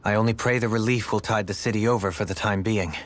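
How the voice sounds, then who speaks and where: An actor playing a calm, thoughtful noble lord who speaks in a measured, formal way. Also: male voice, fairly low pitch, natural voice. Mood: earnest. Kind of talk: video game voice acting. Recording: game audio over music.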